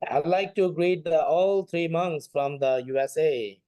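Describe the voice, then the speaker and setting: A man's voice: fairly high pitch, unhurried, warm tone, dry recording. A man teaching an informal online class as a Buddhist monk, speaking hesitantly and often repeating phrases. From Nepal.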